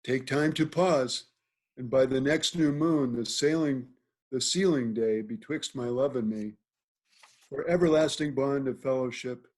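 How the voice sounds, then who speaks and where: Man playing a formal, measured Shakespearean duke. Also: mid-range voice, a little mellow, natural voice. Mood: grave, advising. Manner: formal, deliberate. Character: authoritative, measured duke counseling a young maid. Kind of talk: Shakespearean verse table read. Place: remote video call reading. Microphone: video call.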